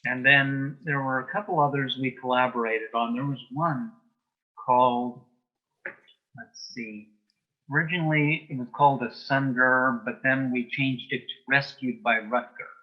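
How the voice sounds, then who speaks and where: A man answering as an interview guest in a conversational way, with brief, deliberate replies. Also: mid-range voice, steady tone. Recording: dull, lo-fi sound.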